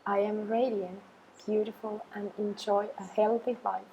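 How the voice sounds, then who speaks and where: Woman guiding meditation in an even tone. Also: medium pitch, lo-fi sound, slight background noise. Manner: slow, measured phrasing with long pauses. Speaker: meditation and yoga guide. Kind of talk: guided affirmation meditation.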